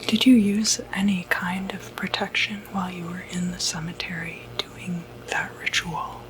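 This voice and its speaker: A woman whispering an ASMR roleplay as a sympathetic, non-judgmental doctor, speaking gently with reassuring, hesitant pauses. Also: on the low side, fairly even intonation, noisy background. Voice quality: breathy, unvoiced whisper. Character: sympathetic, non-judgmental doctor who treats demonic possession.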